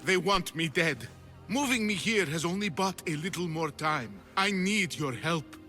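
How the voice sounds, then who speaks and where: A man's voice: a little high, animated, a little slow, pushed and bright, soft background music. A man playing an anxious, effusive and fussy hunted sculptor in a dramatic video game. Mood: fearful, urgent. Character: anxious, excitable hunted male Greek sculptor, theatrical and scholarly.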